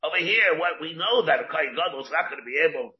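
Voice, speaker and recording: Rabbi teaching a Talmud class. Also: male voice, fairly high pitch, lively intonation. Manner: halting, restarts and asks questions aloud, answers students. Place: classroom with students. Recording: muffled lo-fi classroom recording.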